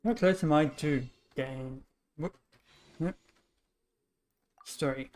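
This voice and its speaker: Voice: medium pitch, slow pace, a little mellow. A game streamer giving casual commentary, muttering offhandedly to themselves while playing. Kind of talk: casual gameplay commentary, reading aloud.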